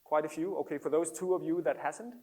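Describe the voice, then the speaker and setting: A man with a Danish accent, a happiness researcher giving a public talk to an audience in an earnest, explanatory way with gentle humor. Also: a little high, fairly even intonation, fairly quick, poor audio. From Denmark.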